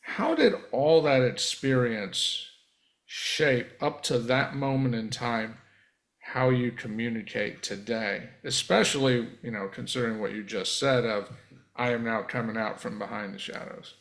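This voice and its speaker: A male podcast host with a gravelly, fry-laden voice at an ordinary pitch. He affirms his guest often and asks long, winding questions. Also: a little slow, a bit bright. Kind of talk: interview host.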